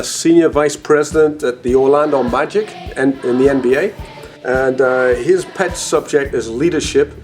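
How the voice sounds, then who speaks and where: Chatty, upbeat company president. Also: male voice, a little high. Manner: chatty, enthusiastic, frequent "uh" fillers. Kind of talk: casual video message.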